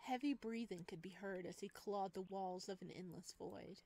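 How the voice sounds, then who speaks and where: A woman reading a visual novel aloud and commenting on it in a gushing, excitable way. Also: medium pitch, normal speed, a little hiss or hum. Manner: gushing, excitable over cute things. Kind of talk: dramatic read-aloud with excited playthrough commentary. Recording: background music behind voice.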